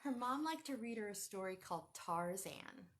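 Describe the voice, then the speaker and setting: A woman's voice: mid-range voice, animated, normal speed, slight background noise. A woman hosting a children's storytime, reading a picture book aloud in a sing-song teacher voice and asking the children questions.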